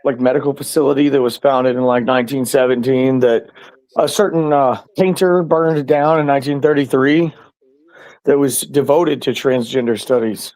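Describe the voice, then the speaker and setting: A man's voice: medium pitch, steady tone, moderate pace, a little mellow, poor audio. A man in his thirties in a casual panel chat, rambling and joking. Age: 30s. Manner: rambling, joking, hedging with fillers. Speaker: podcast co-host.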